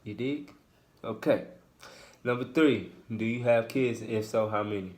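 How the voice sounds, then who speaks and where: A man, casually answering Q&A questions with his partner in a laid-back, halting way full of fillers. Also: lowish voice, darkish tone. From Houston, Texas. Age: 20s. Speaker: co-host of a couple's channel. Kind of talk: casual Q&A chat.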